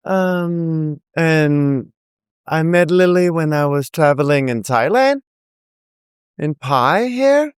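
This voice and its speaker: Danish-accented young woman, guest rapper; smooth, dry close voice, giggly storyteller. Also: deep voice, animated, slow, no room sound. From Denmark. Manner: chatty, laughs often, rambling asides. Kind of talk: casual interview chat.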